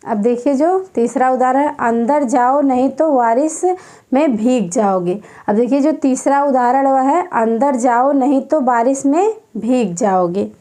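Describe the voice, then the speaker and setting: Slow, repetitive woman teacher. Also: high voice, mellow. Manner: slow, repetitive dictation, repeating each term several times. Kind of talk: exam-prep grammar lesson.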